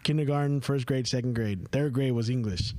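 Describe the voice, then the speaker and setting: A man's voice: mid-range voice, a little fast, darkish tone, poor audio. A man in his thirties hosting a podcast, chatting and asking questions in loose, slangy, sweary language. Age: 30s. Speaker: podcast host. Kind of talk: casual interview chat.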